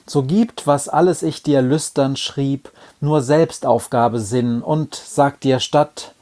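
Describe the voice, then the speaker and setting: A man reciting poetry in a measured, declamatory way. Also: medium pitch, dark tone.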